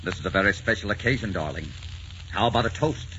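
Man acting a low-voiced, brooding, dramatically swooping young writer. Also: expressive, quick pace, background noise, natural voice. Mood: tender, hopeful. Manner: theatrical, fervent, melancholy. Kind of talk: 1940s radio drama acting. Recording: dull vintage 1940s radio recording, music behind.

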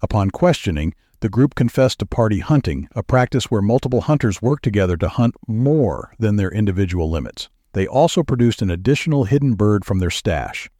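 A man reading news stories as a podcast producer, with a measured, even newsreader delivery. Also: on the low side, no room sound, studio quality.

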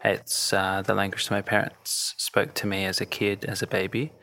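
Man, deep voice, measured explaining, podcast interview. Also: flat delivery, pushed and bright. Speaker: journalist guest.

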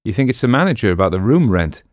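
A man's voice: fairly low pitch, very animated, quick pace, no echo, studio quality, pitched above the performer's own voice. A man voicing a burly, gloomy sidekick who frets and worries, in an audiobook. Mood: apologetic.

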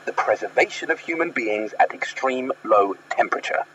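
A man's voice: medium pitch, expressive, normal speed, noisy background, natural voice. An actor playing an exasperated man on a phone call in an audio drama.

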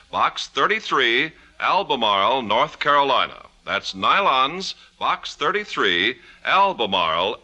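A man's voice: medium pitch, pushed and bright. An American man reading announcements and commercials on 1950s radio in an emphatic, polished sales delivery. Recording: 1950s radio broadcast, music behind.

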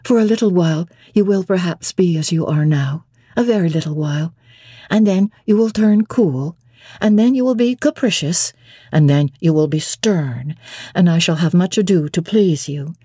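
A woman's voice: on the low side, normal speed, dark tone, husky, no echo, studio quality. A woman playing an earnest, proud young bride-to-be in a classic novel reading, speaking formally and candidly. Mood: wry, resigned.

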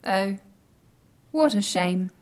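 A woman playing a vain, literal-minded artificial intelligence in an audio drama comedy, speaking in deadpan, stilted formal phrases. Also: mid-range voice, extremely slow. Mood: flatly disappointed.

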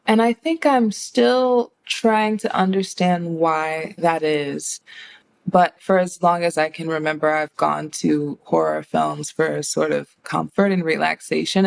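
American woman, interview guest, thoughtful and conversational, fairly low voice, noticeable vocal fry. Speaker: interview guest, horror writer and director.

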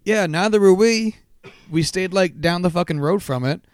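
A man co-hosting a casual podcast, hoarse-voiced, telling stories animatedly and swearing freely. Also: high voice, normal speed, no room sound. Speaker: podcast co-host. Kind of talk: casual chat.

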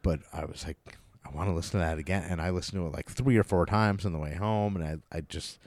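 A man's voice: deep voice, fairly even intonation, fast talker, darkish tone, dry recording. A middle-aged man hosting a podcast, talking about music in a casual, rambling, enthusiastic way with the odd swear word. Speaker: podcast host.

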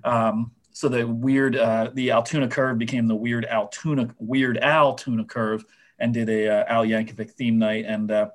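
A man whose voice is going hoarse, chatting casually on a sports podcast with lots of fillers and restarts. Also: medium pitch, level tone, moderate pace. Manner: rambling, many fillers and restarts. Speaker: minor league baseball writer guest.

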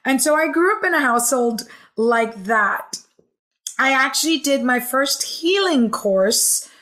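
A woman in her forties, from Hong Kong, speaks as a podcast guest with a fairly high voice. She tells her story in an enthusiastic, chatty way, sprinkled with "like" and "um". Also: animated, measured pace, a bit bright. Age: 40s. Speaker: podcast guest, healing coach. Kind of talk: conversational storytelling interview.

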